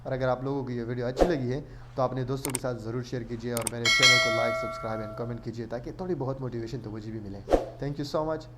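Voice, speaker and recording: A man's voice: mid-range voice, steady tone, fast talker, heavy background noise. A man hosting an online tutorial, explaining step by step in a casual way and mixing English words into his speech. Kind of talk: casual screen-share tutorial narration.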